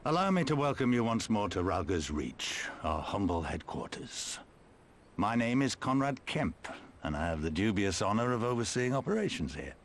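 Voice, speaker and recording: Deep-voiced weathered older resistance commander. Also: male voice, sing-song, moderate pace, a little hiss or hum. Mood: welcoming. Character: gracious, weathered older male resistance commander, humble and resolute. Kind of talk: dramatic video game voice acting. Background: orchestral music.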